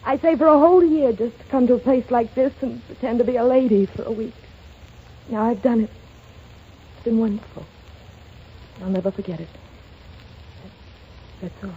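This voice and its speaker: An actress playing a young shop girl posing as a rich lady, with a refined, gently teasing manner, in a 1930s radio drama. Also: a little high, moderate pace, long pauses, husky. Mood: confessional. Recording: lo-fi old radio broadcast with music.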